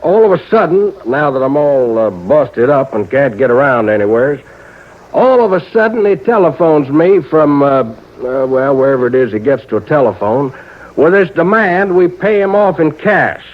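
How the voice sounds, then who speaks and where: An actor playing a folksy, nervous old small-town insurance agent with a rural American accent, hesitant and drawn out in old-time radio drama style. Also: male voice, fairly high pitch, lively intonation, moderate pace, close to an everyday voice. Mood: suspicious. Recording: narrow-band vintage radio recording.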